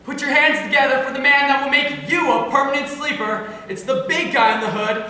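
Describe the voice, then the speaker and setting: A man's voice: extremely high pitch, fairly even intonation, fairly quick, sharp tone, exaggerated voice. A young man playing a gleeful, teasing late-night radio host on stage with theatrical announcer delivery. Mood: showy, gleeful. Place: stage in a hall, distant microphone. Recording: echoey hall from far off, noisy.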